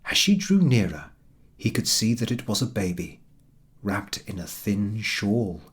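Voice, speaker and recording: A man narrating a storybook in an unhurried, dramatic, expressive manner, with a soft husky voice. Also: fairly low pitch.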